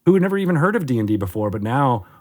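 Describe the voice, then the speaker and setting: A man's voice: medium pitch, very animated, rapid-fire. A man co-hosting a podcast, upbeat and rambling as he wraps up the interview. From former theater major. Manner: upbeat, rambling, many fillers. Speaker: podcast co-host. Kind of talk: casual podcast chat.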